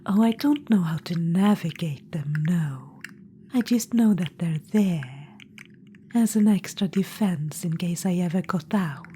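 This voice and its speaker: A woman voicing a haughty ancient witch-queen trapped in an orb, speaking in a soft, regal, condescending whisper for an ASMR roleplay. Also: on the low side, lively intonation, a little slow, a little hiss or hum.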